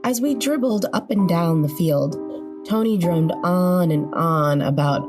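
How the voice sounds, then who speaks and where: A woman's voice: on the low side, measured pace, music in the background. A woman reading scripted narration as the narrator of a horror podcast.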